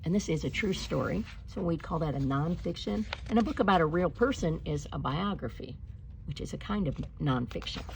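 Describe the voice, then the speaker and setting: A woman reading a storybook aloud like a teacher, with deliberate, expressive phrasing and instructive delivery. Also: deep voice, vocal fry, a little hiss or hum. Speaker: teacher reading a picture book aloud to pupils.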